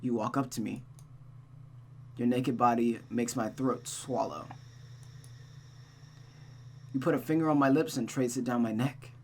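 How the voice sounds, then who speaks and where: A reader voicing an anguished, brooding male lover from a visual novel in a slow, hushed, halting delivery, over a noisy recording. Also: mid-range voice, fairly even intonation, lightly acted.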